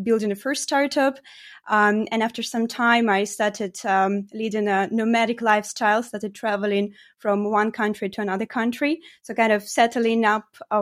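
Ukrainian accent woman being interviewed, normal mid pitch, pauses a lot mid sentence. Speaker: guest, startup co-founder. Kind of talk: conversational interview answers.

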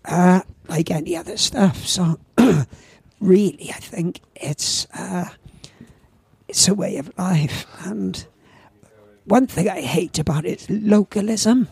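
A man in his seventies with a Welsh accent and a croaky old voice, chatting as a podcast guest and telling lively stories that repeat words for effect. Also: sits high, slow pace, no echo. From Wales. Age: 70s. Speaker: guest, veteran surfer. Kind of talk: casual anecdotal chat.

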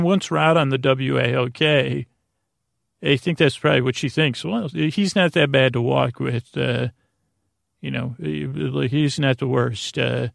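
A man hosting a bedtime podcast in a creaky voice, rambling soothingly and haltingly, often stammering and interrupting himself. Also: medium pitch, fairly bright tone, no room sound, clean studio sound. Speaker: host of a bedtime sleep podcast.

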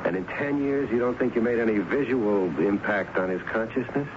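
A man acts out a male homicide lieutenant in an old radio play. He asks his questions casually but persistently, pausing as he goes. The recording is dull and hissy. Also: on the low side, natural voice. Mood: probing, polite. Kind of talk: 1970s radio drama acting. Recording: muffled, noisy 1970s radio broadcast.

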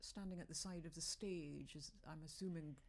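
Woman interviewer with a lowish voice, supportive and attentive, casual chat. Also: fairly even intonation, normal speed. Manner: supportive listener with brief murmured agreement. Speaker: podcast host.

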